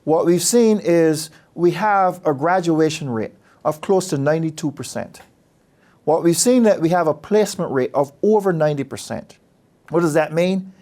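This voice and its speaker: A man from Barbados, a business school dean speaking about his program in a measured, earnest, persuasive way. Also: fairly high pitch, animated, dark tone. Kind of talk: promotional interview talk.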